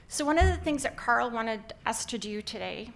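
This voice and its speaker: A woman's voice: fairly high pitch, animated, normal speed, creaky. Canadian woman giving a conference talk, upbeat, lots of ums, bit of hiss behind. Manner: upbeat, many "um" fillers. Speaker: company founder presenting. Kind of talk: conference presentation.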